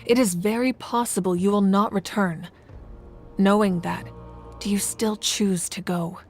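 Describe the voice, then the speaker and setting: An actress playing an authoritative female leader in a video game, measured and assured, with a friendly side. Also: medium pitch, fairly even intonation, darkish tone, faint music behind, close to an everyday voice. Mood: grave, resolute. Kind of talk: video game character acting.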